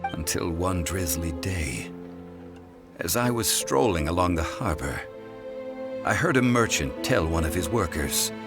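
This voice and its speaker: A very low-voiced ancient god from a video game, calm and dignified. The phrasing is formal, measured and solemn, with music clearly playing underneath. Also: male voice. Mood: reflective. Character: calm, dignified ancient god in human guise, thousands of years old. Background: background music.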